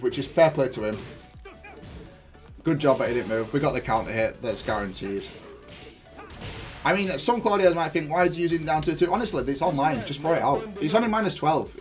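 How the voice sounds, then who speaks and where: Man commentating gameplay over music. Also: medium pitch. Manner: explanatory, jargon-heavy, thinking aloud. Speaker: gaming YouTuber and player analysing own match.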